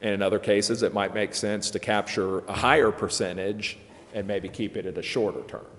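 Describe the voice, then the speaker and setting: Male consultant, low-pitched voice, explanatory delivery, long room reverb. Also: normal speed, a little hiss or hum. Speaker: legal consultant presenting. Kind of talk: explanatory presentation. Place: council chamber.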